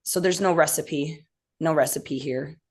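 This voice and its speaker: Midwestern woman, deep-pitched, easygoing joking band guest. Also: a little slow. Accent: Midwestern American. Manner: laid-back, joking, self-deprecating. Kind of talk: casual interview chat.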